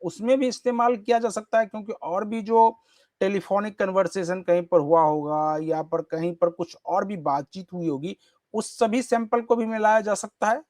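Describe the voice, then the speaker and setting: A man hosts a news interview in a high voice with a dry sound. He builds long questions, repeating phrases as he frames them. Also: moderate pace, darkish tone, no echo.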